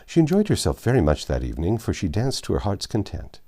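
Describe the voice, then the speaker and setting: Male audiobook narrator, very low and mellow, expressive storybook read. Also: fast talker.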